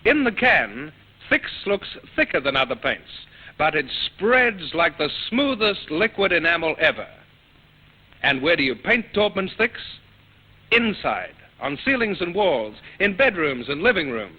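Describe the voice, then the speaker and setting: A man's voice: a little high, very animated, a little slow, slightly rough. A man reading a scripted commercial as a vintage radio announcer. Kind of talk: scripted vintage advertisement read. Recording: dull old radio recording.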